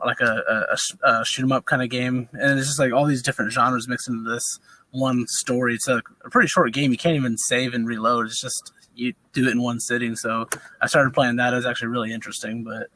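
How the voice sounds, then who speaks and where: A man's voice: mid-range voice, bright, forceful tone, no echo, poor audio. A man in his thirties, co-hosting a casual gaming podcast, talking with many fillers and restarts. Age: 30s. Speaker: podcast co-host. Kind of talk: casual chat.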